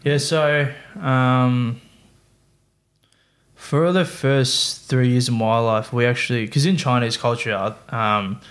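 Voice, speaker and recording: A man's voice: medium pitch, steady tone, slow, a bit bright. A young man, a podcast guest, talking casually and rambling through fillers and restarts. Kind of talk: casual chat.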